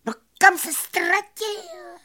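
Woman squeaking as a tiny, very high cricket. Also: very animated, sharp tone, heavily acted. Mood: astonished. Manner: chattering, stammering repetitions. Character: tiny excitable male cricket fiddler.

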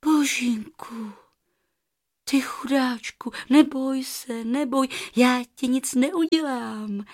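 A woman voicing a kind, eager little girl with a high, excited voice.

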